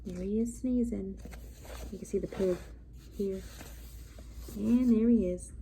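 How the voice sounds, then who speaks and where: A woman teacher reading a story aloud, pausing to explain things simply for young listeners. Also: mid-range voice, warm tone, slight background noise. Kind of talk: read-aloud storytelling with asides to children.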